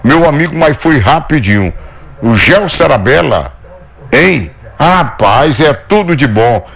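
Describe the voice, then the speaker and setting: Male host, gravelly voice, folksy sing-song selling patter. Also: mid-range voice, a bit bright. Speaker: show host. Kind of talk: live show sales pitch.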